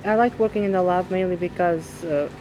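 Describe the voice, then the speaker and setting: A man's voice: high voice, a little fast, noisy background. A man from Portugal, a student, introducing himself casually with some filler words.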